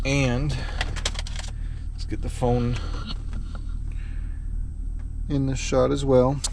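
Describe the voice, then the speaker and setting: Slow-paced man over clear vehicle noise. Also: medium pitch, level tone. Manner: halting, thinking aloud while working with hands. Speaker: vlogger. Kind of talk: casual hands-on DIY truck repair walkthrough. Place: in or beside a pickup truck. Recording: clearly noisy vehicle ambience.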